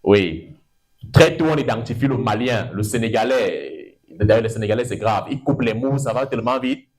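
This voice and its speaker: Lively Cameroonian man lecturing law. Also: normal speed. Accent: Cameroonian French. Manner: lively and digressive, pitch leaping up for emphasis, stretching words. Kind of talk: university lecture. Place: lecture room.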